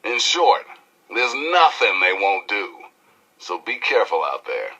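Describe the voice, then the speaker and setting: An actor playing a cocky, flamboyant post-apocalyptic rebel radio DJ with an American accent and showy, slangy delivery in a video game. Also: male voice, fairly low pitch, sing-song, bright, forceful tone, slight background noise, exaggerated voice, low-quality recording. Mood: wry, confiding. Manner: showy, slangy, drawn-out emphasis with howls. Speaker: radio DJ and newscaster. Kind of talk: theatrical radio DJ patter.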